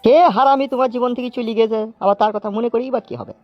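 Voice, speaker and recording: A man playing a pushy, smooth-talking suitor in a comedy cartoon, coaxing and repeating himself. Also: very high voice, steady tone, rapid-fire, no room sound, highs cut off. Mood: eager, persuading. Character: smooth-talking pushy male suitor wooing an abandoned wife.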